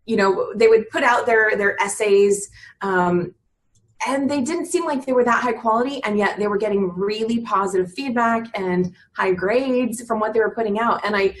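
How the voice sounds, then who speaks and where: A woman's voice: on the high side, a bit bright, a little hiss or hum. A woman in her thirties talking earnestly as an interview guest, often restarting her sentences and filling with "you know". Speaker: guest on a business podcast. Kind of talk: reflective conversational chat.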